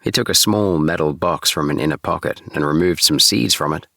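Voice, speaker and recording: A man narrating an audiobook in a calm, steady reading voice. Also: a bass voice, a little fast, gravelly, no room sound, studio quality.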